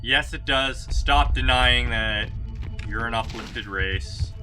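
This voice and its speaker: A man's voice: mid-range voice, slow. A male streamer giving casual, joking commentary while playing a game. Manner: animated, joking reactions. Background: game music.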